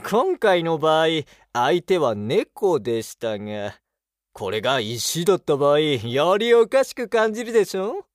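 A courteous, analytical technician from a radio play. He explains at an unhurried pace with widely swinging intonation, in formal, slightly theatrical language. The recording is dry and close. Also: fairly high pitch, sing-song, no echo. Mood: knowing. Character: polite, analytical male technician of living machines, explains patiently. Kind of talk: Japanese audio drama acting.

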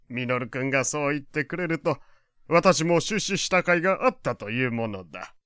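A man's voice: on the high side, lively intonation, measured pace, a bit bright, clear, resonant tone, dry recording, studio quality. An actor playing a teasing, wealthy old man who speaks in an archaic, grandly courteous way in an audio drama. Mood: genial. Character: elderly male wealthy patron, genteel, teasing, old-fashioned. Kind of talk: Japanese audio drama acting.

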